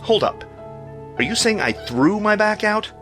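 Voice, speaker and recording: Middle-aged hero: sardonic audio-drama lead, bright hard-edged tone, swinging pitch, music behind. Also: male voice, sing-song, measured pace, full character voice. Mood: incredulous, agitated. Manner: sardonic, incredulous. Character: exasperated, sarcastic aging male superhero facing decline.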